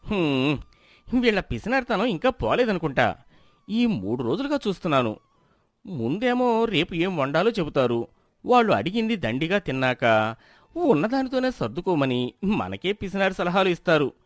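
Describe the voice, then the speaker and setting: A man's voice: high-pitched, very animated, moderate pace, no echo, studio quality. A man voicing a shrewd, fed-up husband in a moral story, grumbling and scheming.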